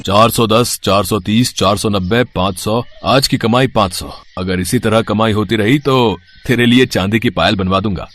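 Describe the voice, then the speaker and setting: A man's voice: lowish voice, moderate pace, few pauses, a bit bright. A man voicing a shameless, sly street fritter seller and husband in a cartoon moral story, gloating and dismissive. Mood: smug. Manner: gloating, dismissive of his nagging wife.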